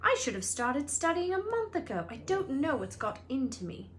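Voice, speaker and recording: A woman's voice: sits high, very animated, moderate pace, a little hiss or hum, voice pushed up. A woman voicing an anxious, studious, thoughtful schoolgirl from a storybook in an earnest manner.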